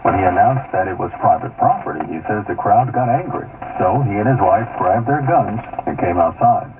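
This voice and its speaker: Low-voiced male anchor on tinny radio. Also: lively intonation, normal speed, far from the mic. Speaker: news anchor. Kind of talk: scripted radio news narration. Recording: tinny, noisy AM radio aircheck.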